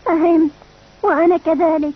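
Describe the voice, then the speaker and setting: An actress playing a kind, resourceful youngster who looks after friends in a dubbed cartoon. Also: female voice, very high-pitched, level tone, moderate pace. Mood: caring. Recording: muffled old cartoon dub.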